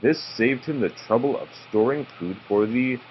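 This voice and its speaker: A man reading a children's storybook aloud like a father, with expressive, deliberate phrasing. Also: mid-range voice. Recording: muffled, faintly noisy home recording.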